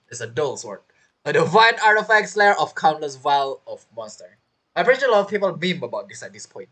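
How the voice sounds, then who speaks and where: Young male gamer, very animated, playful. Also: high-pitched. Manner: joking, playful, reacting aloud to the game. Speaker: gaming streamer. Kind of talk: casual playthrough commentary.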